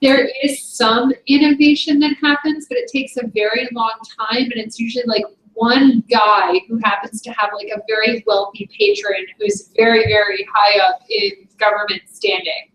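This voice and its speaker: Higher-voiced female student, echoey and far. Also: normal speed, few pauses, fairly bright tone. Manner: casual, uses "like" often. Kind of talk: classroom discussion. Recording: echoey classroom, distant mic.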